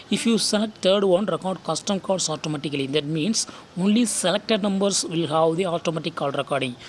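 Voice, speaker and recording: Phone tutorial narrator, medium pitch, instructional and repetitive, recorded outdoors with noticeable background noise. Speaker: tech tutorial host.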